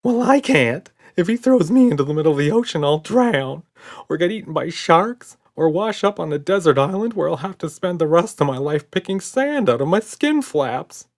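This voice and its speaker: A man's voice: fairly high pitch, very animated, normal speed, voice pushed up. A man voicing a small, terrified monster in a children's audiobook, gasping and wailing in panic. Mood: panicked wailing. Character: small, terrified, frantic monster with googly eyes.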